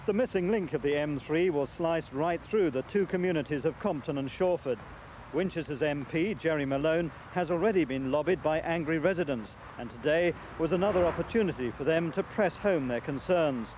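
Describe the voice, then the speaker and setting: A man's voice: a little high, fairly quick, darkish tone. A man delivering a scripted television news report. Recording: dull sound, faint outdoor traffic noise.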